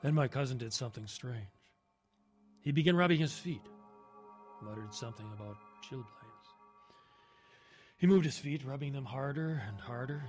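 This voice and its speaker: A man playing a haunted woodsman survivor in a horror audio drama, telling his tale in a halting, dramatic way with swelling emphasis. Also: medium pitch, darkish tone, faint music behind, lightly acted. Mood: fearful, agitated. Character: haunted male woodsman survivor recounting a terror.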